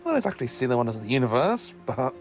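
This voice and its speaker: A man voicing an awkward alien time-travelling professor, gentle, hesitant, gravelly edges, mid pitch, audio drama. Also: very animated, quick pace, soft background music.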